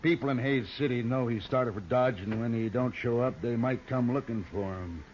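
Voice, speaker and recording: Bullying frontier outlaw leader, acted by a man, folksy and scheming, mid-pitched, on muffled vintage radio. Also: normal speed, a little mellow, close to an everyday voice. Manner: folksy, rustic drawling grammar. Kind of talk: old-time radio western acting. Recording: dull, faintly noisy vintage radio recording.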